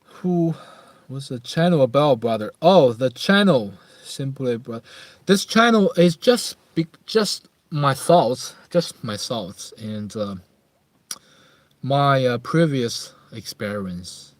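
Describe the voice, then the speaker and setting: A man with a Chinese accent talks in a close, dry recording at an unhurried, slow pace. He hosts a casual live chat, thinking aloud in halting phrases that he often repeats. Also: mid-range voice, animated, no echo. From Harbin, northeast China. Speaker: livestream host.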